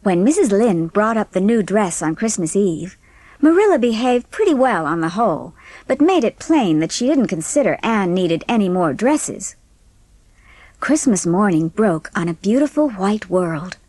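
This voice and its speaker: A woman narrating an audiobook story in an expressive, scripted storytelling manner. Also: mid-range voice, normal speed, dry recording.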